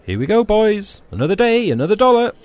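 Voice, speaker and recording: A man's voice: high voice, very animated, fairly quick, darkish tone. A man playing a loyal, laddish footballer mate in an audio drama, talking in colloquial, matey British English. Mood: cheeky. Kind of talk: full-cast sci-fi audio drama.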